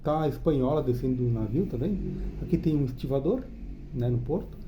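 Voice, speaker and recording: Mellow-voiced Brazilian man reviewing comics. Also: mid-range voice, expressive, brisk, slight background noise, poor audio. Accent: Brazilian Portuguese. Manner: chatty, enthusiastic, frequent tag questions. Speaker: comic book reviewer and channel host. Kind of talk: casual spoken review.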